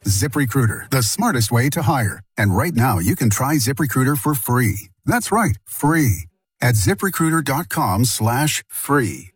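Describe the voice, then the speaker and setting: A man's voice: mid-range voice, very animated, a little slow, slightly rough, no room sound, clean studio sound. A man reading a radio commercial emphatically. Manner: emphatic, repeats the web address. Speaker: ad announcer.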